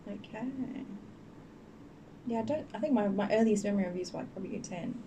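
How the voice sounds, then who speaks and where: A young woman hosting a podcast, asking quick, playful questions in casual chat. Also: medium pitch, dark tone. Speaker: podcast host. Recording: distant mic with faint noise.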